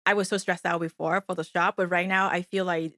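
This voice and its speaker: A woman from Vietnam speaks English with a Vietnamese accent, pausing and restarting as she searches for words. Her voice is low for a woman. The recording is close and dry. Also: level tone, a bit bright, no echo. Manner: halting, non-native grammar, frequent "uh" and restarts. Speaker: coffee shop owner, guest. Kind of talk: casual interview chat.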